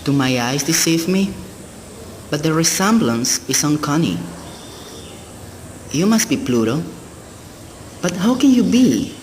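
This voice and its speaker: An actor in a radio play voicing an uneasy, haunted man, musing in a theatrical, deliberate way. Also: a little high, very animated. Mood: astonished, uneasy. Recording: long hall reverb, background noise.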